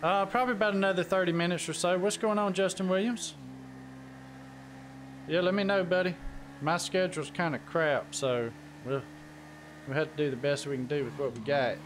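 Dude chatting on a game stream, folksy wording, bit of noise behind him. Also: male voice, a little high, steady tone, normal speed. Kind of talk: casual gaming livestream chat. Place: warm room at home.

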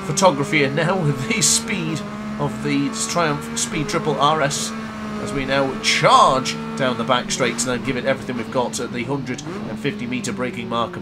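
A young man with a cold, brisk and congested, commentating a motorbike race in a game. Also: on the high side. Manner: brisk commentator-style narration, self-correcting. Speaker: gaming commentator. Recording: loud game music behind.

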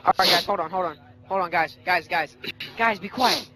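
A man's voice: high-pitched, normal speed. A young man talking casually in game voice chat, interjecting as a listener. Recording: noisy game voice chat with clicks. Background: video game sounds.

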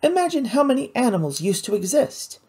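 Raised high above the reader's own register, this is an earnest, well-off young woman, bright-eyed and full of opinions, as a storyteller puts her on. The tone stays mellow and a little husky, and the pitch swings freely as she talks. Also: sing-song, a little fast. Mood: enthusiastic. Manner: earnest, indignant at unfairness. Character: wealthy, eager, well-meaning young woman.

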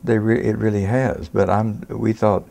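Low-voiced folksy man in his seventies. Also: flat delivery, moderate pace, creaky, mellow. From Texas Panhandle, United States. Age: 70s. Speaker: interviewee, art collector and retired physician. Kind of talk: conversational storytelling. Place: indoors in a furnished gallery room.

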